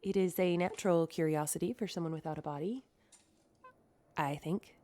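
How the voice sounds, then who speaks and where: A woman's voice: on the low side, normal speed, mellow, close to an everyday voice. An actress playing a computerized woman store owner and new mother, rambling a little nervously in a recorded message. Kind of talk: audio drama acting, recorded message.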